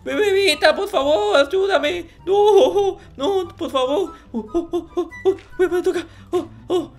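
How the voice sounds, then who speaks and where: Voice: very high voice, slow, full character voice. A performer playing a naive, stubborn, suspicious little kid in an improvised Minecraft roleplay, whiny and stammering, repeating words. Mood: panicked, pleading. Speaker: gaming video host. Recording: music under the voice. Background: music.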